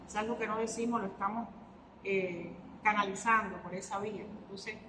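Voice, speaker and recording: A woman's voice: medium pitch, steady tone, normal speed. A Cuban woman, an artist and guitarist, answering interview questions reflectively with hesitant fillers and restarts. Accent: Cuban Spanish. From Cuba. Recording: echoey hall with faint ambient noise.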